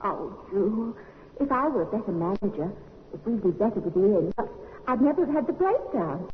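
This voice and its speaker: Actress as an emotional english wife near forty, swoops way up and down, muffled old radio. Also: female voice, medium pitch, sing-song, moderate pace, a little hiss or hum, lightly acted. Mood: tearful. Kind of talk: 1950s radio crime drama acting. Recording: muffled vintage radio recording.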